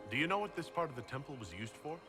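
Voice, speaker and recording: An actor playing a resolute, inquisitive adventuring leader in a video game, with a direct, businesslike manner. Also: male voice, fairly high pitch, big pitch swings, racing, music in the background. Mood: direct, questioning. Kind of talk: video game dialogue acting.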